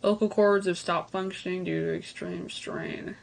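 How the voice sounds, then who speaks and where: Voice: mid-range voice, very animated, measured pace. Casual reaction host over noisy background. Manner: casual asides between read-out passages. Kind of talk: reaction commentary, reading on-screen text aloud and speculating.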